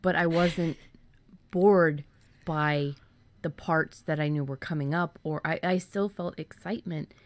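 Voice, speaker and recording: A woman with a low voice chats casually as a podcast co-host. She is informal and rambles a little, and the sound is close and dry. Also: steady tone, a little slow, no room sound. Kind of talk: casual chat.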